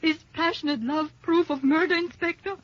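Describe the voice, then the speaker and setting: An actress playing a proud, passionate mistress with haughty, dramatic delivery in 1950s radio drama style. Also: female voice, sits high, fairly even intonation, normal speed, no echo, natural voice. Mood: proud, defiant. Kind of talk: 1950s American radio crime drama acting. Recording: muffled, lo-fi old radio recording.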